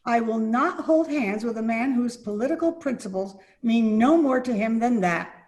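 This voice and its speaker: A woman's voice: fairly high pitch, lively intonation, normal speed, a little mellow, natural voice. A woman playing an indignant, principled lady being courted in an old-time radio comedy, prim and scolding.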